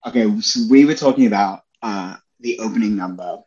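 A man's voice: lowish voice, brisk, darkish tone, muffled recording. A young man, a Broadway performer, chatting as a podcast guest, enthusiastic and full of "like" and "you know" fillers. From Australia. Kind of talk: casual interview chat.

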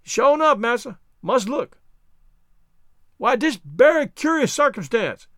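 A storybook servant, simple and obliging. His high voice rises and falls freely in folksy dialect, always literal-minded and eager to please. The sound is dry and close. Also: very animated, measured pace, a bit bright, no echo, full character voice. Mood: puzzled, eager. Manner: folksy nonstandard dialect speech.

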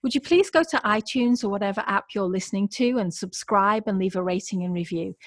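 A woman's voice: medium pitch, dry recording. A woman hosting a podcast, introducing and interviewing her guest with enthusiasm. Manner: enthusiastic, warm praise and laughter. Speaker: podcast host.

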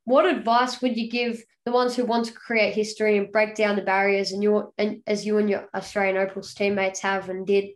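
Woman interviewer, mid pitch, brief and encouraging. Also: flat delivery, brisk, few pauses. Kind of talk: interview questions.

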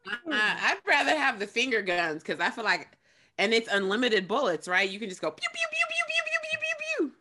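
A woman's voice: on the high side, wildly swooping pitch, fairly quick, pushed and bright. A playful woman joking in a casual group chat over a video call. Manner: playful, makes laser gun sounds. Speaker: panelist.

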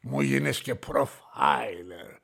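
An actor playing an impatient, bossy police inspector in a radio play. He barks orders and mutters his thoughts in a hushed voice. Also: medium pitch, very animated, gravelly, full character voice. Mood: impatient, barking.

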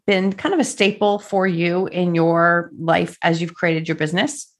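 A woman's voice: lowish voice, steady tone, a little slow. A woman hosting an interview, encouraging and affirming her guest as she asks questions. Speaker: podcast host.

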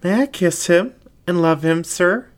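A man's voice: sits high, unhurried, pitched above the performer's own voice. A man voicing an eager, devoted collie in a story reading, pleading and deferential.

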